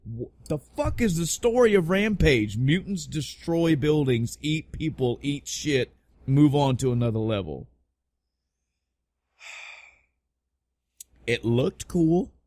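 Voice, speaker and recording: Male podcast host, mid-pitched, casual and rambling, emphatic with lots of cursing, dry recording. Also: a little mellow, no echo. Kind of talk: casual podcast chat about video game movies.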